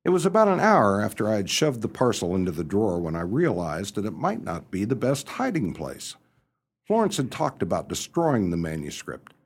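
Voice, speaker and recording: A man playing an excitable young upper-class English gentleman who narrates a radio comedy in breezy, exclamatory slang. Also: medium pitch, husky. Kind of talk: first-person radio comedy narration and dialogue.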